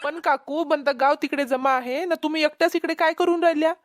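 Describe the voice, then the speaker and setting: A woman's voice: high voice, flat delivery, a little fast, natural voice. A woman with a Vidarbha Marathi accent voicing an officious, suspicious rescue worker who questions and instructs, in a cartoon comedy. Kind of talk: amateur cartoon comedy voice acting.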